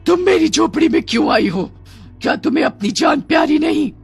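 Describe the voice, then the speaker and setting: A woman's voice: high voice, lively intonation, fairly quick, gravelly, voice pushed up, exaggerated voice. Menacing cartoon forest witch, voiced by a female narrator: embittered, challenging, dramatic, over light music. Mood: angry, threatening. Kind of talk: children's horror cartoon dialogue.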